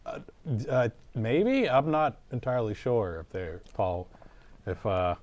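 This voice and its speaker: A man's voice: medium pitch, expressive, a little slow, a little mellow. A man hosting an improvised comedy interview in an informal, joking, rambling way. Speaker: host.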